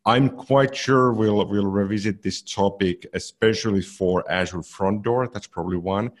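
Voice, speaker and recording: Deep-voiced man, casual podcast talk. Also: moderate pace. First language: Finnish. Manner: thoughtful, repeats words as he goes. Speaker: podcast co-host. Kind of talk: casual technical chat.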